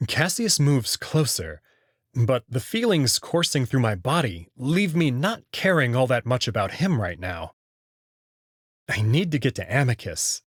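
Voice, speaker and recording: A male narrator reads a visual novel in the first person. His voice is husky with lively, dramatic intonation, and the recording is dry. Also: mid-range voice, no room sound.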